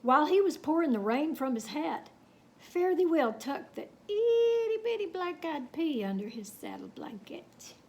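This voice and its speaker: A woman reading a children's story aloud in an expressive, folksy, dramatic way with chatty asides. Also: high voice, unhurried, slight background noise.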